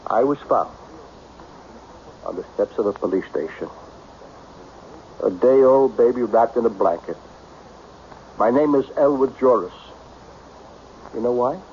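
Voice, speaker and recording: Old radio drama: male actor as self-made tycoon, brooding, blunt, self-pitying, very animated pitch, dull broadcast sound. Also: unhurried, pauses often, slight background noise, natural voice. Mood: bitter, dejected. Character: lonely self-made millionaire businessman, melancholy, brusque, self-pitying.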